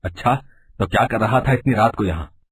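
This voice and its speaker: A man playing an authoritative, principled villager in an animated storybook tale, with a husky voice. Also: lowish voice, a little fast. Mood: accusing, stern. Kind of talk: animated storybook character acting.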